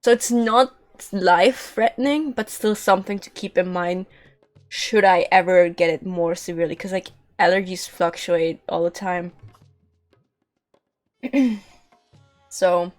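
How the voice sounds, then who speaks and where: A female game streamer talks in a lowish voice. Her delivery is casual, rambling and informal, with game music playing behind her. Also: takes it slowly, long pauses. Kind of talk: casual livestream chat.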